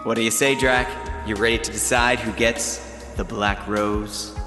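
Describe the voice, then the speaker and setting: An actor playing a flamboyant, scheming reality show host and producer in a video game, with a showy, drawn-out announcer delivery. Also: male voice, fairly low pitch, level tone, natural voice. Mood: gleeful. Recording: echoey hall reverb with music behind.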